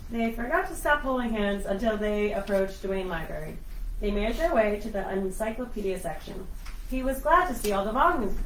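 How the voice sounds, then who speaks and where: A woman reading scripted narration at a live dramatic reading of a novel. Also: mid-range voice. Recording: roomy, distant mic, faint noise.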